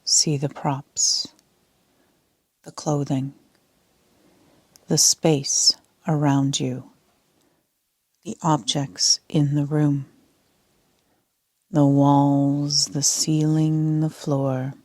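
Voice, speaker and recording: A woman leading a sleep meditation as a yoga nidra instructor, speaking in a soft, half-whispered, calm and soothing way. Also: deep voice, drawn-out words, long pauses, no room sound.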